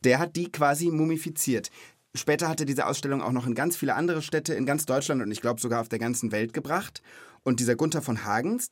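A man's voice: medium pitch, no echo, studio quality. A man in his thirties hosting a children's podcast, explaining things in an informal, chatty, conversational way.

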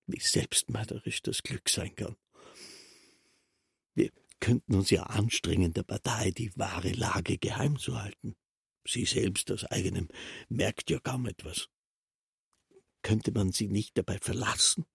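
A verbose, resigned castle official in a radio drama. His voice is extremely raspy and breathy with a gravelly creak, recorded close and dry. He ruminates in long, halting, insistent clauses. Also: fairly low pitch, no echo, full character voice. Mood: brooding resignation. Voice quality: husky, breathy rasp. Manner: halting, ruminative, builds long elaborate sentences. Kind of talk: German literary radio play acting.

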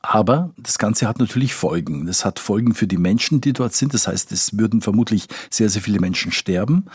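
Man, low husky voice, conversational correspondent, emphatic repetitions, dry close sound. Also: animated, a little fast, a little mellow, no room sound. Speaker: foreign correspondent. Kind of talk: conversational expert explanation in an interview.

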